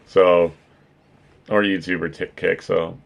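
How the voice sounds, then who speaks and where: A man with a naturally deep voice hosting a casual stream chat, joking, swearing and laughing often. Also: flat delivery, takes it slowly, vocal fry, highs cut off. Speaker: streamer and podcast host.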